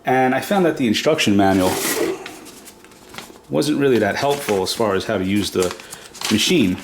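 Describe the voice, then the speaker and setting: A man with a lowish voice sounds nasal and stuffed up from a cold as he explains a kitchen gadget step by step. He talks plainly, with the odd "uh" and "um." The room has some reverb, and a faint hiss sits under the recording. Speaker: product demo host. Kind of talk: casual how-to demonstration.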